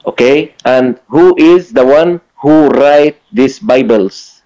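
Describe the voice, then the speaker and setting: Slow-spoken man, non-native Indonesian speaker. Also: mid-range voice, mellow, poor audio. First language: not Indonesian, still learning it. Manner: halting, mixes broken Indonesian with English. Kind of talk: informal religious debate on video call. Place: online video call.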